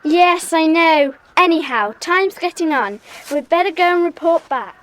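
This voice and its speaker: A practical, firm child in a children's audio mystery. The voice is high and lively, with matter-of-fact delivery. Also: female voice, measured pace, full character voice. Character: sensible, firm child member of a secret club.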